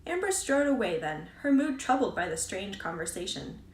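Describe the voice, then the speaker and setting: Female narrator for read-aloud fiction, medium pitch, recorded in a roomy space away from the mic. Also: big pitch swings, normal speed, slight background noise. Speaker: author reading own book aloud. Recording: roomy echo, distant from mic.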